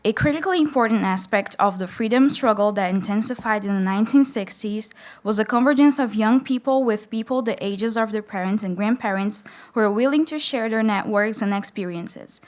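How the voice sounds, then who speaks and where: A young woman from Brazil with a Brazilian accent, a native Portuguese speaker, speaking and reading aloud to an audience as a student. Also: a little high. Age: young adult. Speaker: student reader at a public read-in.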